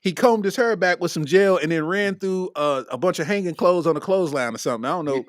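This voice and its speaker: A man from Kentucky hosts a comedy podcast in a loose, easygoing way. His voice sits high for a man. The sound is dry and close, with no room echo. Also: fast talker. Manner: laid-back, repeats "you know what I'm saying". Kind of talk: casual comedic podcast chat.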